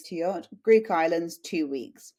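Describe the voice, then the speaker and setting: A woman's voice: fairly low pitch, lively intonation, unhurried, dark tone. An English woman in her late twenties, chatting and telling anecdotes as an interview guest. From UK, small town in Suffolk. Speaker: podcast guest. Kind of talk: conversational interview.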